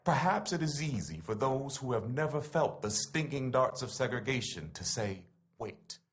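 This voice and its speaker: A man giving a dramatic recitation of a written letter in an oratorical, impassioned manner with emphatic phrasing. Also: mid-range voice.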